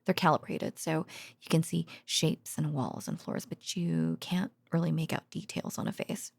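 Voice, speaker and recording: A woman playing a gentle researcher girlfriend in an ASMR bedtime roleplay, murmuring haltingly in a soft, breathy voice that often drops to a whisper. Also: deep voice, fairly even intonation, dry recording, full character voice. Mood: casual, murmured. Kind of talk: intimate ASMR audio roleplay, conversational bedtime monologue.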